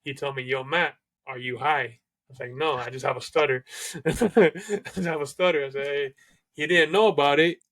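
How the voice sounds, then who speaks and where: A man hosts a relaxed conversation in a casual, loose way, with plenty of "um" and "like." He stutters himself and talks about it plainly. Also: on the high side, moderate pace. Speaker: host. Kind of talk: conversational interview.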